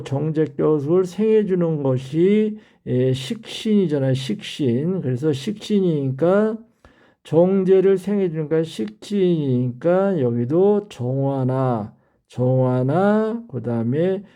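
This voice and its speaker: A man's voice: fairly high pitch, warm tone, lo-fi sound. An older man teaching in an explanatory lecture style, repeating key terms for emphasis as he goes. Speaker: fortune-telling teacher.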